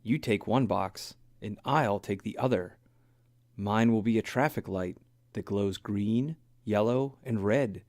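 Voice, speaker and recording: A man's voice: on the low side, slow, a little mellow, no echo, studio quality. A man voicing a decisive, enthusiastic young girl bear cub in an earnest children's storybook reading.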